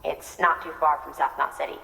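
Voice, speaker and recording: An actress playing a composed scientist in a video game, briefing a courier in a conversational, explanatory way. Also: female voice, low voice, fairly even intonation, a little fast, gravelly, pushed and bright, heavily acted. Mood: informative, encouraging. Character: composed female lab scientist guiding a courier. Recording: reverberant hall sound, faint noise.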